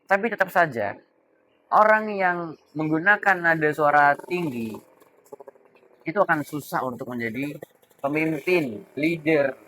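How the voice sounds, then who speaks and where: A young adult man giving an informal coaching talk, deliberate and emphatic, pausing between key words. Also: on the high side, fairly bright tone, slight background noise, poor audio. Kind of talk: informal explainer talk to camera.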